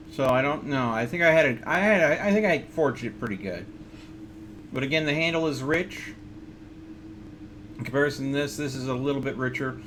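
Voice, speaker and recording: A man's voice: medium pitch, a bit bright. A man giving casual live gameplay commentary, talking to himself in short muttered remarks as he plays. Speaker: streamer. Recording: clear background noise.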